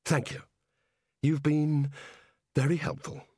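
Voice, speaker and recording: Man playing male fugitive prisoner, anxious, hesitant, sardonic, comic storybook, higher pitch, dry recording. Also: little pitch movement, takes it slowly, warm tone, breathy edge, dry recording. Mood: desperate, exasperated. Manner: fretful, hesitant. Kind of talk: comic audiobook character voice.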